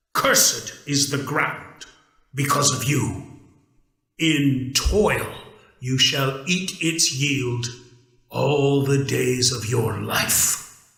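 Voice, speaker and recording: A man's voice: a little high, gravelly, bright, forceful tone, echoey room, exaggerated voice. A man voicing a stern, commanding God in a dramatic scripture reading, grave and emphatic as he judges. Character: stern, commanding male God, solemnly judging.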